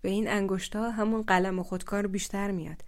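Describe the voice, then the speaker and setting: A woman's voice: mid-range voice, flat delivery, close to an everyday voice. A woman voicing a young village man, an educated engineer, who talks in a playful, teasing way.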